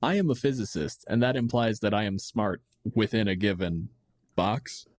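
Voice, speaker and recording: A man's voice: lowish voice, normal speed, dry recording. A man chatting casually as a podcast host, riffing on game monsters in a dry, blunt way and swearing freely. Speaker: podcast host answering listener questions.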